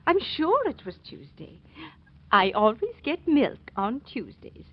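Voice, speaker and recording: High-voiced, flustered old woman. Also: wildly swooping pitch, takes it slowly, heavily acted. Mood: flustered, earnest. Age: older. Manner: flustered, hesitant, apologetic. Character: fretful, forgetful, kindly old aunt. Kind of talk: 1940s radio acting. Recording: dull, noisy old 1940s radio recording.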